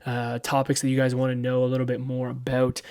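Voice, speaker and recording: A man of thirty giving a casual weekly running recap, relaxed and rambling with lots of ums. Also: medium pitch, a little fast, smooth. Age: 30s. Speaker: running vlogger.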